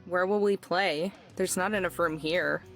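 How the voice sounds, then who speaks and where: A woman's voice: lowish voice, measured pace, fairly bright tone, soft background music. A woman playing a guarded but caring young drifter in a visual novel, speaking in a dry, casual way. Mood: wary.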